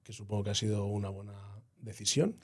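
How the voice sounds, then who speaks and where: A man's voice: on the low side, quick pace, breathy edge. Host, male, from Spain, easygoing interviewer with brief questions. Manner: friendly, asks short questions. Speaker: podcast host. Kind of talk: interview hosting.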